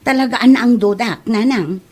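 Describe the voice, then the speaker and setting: A woman's voice: a little high, normal speed, a little mellow, breathy edge. A woman playing a thrifty, naive, defensive wife with an Ilocano accent in a comedy audio drama. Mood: sheepish.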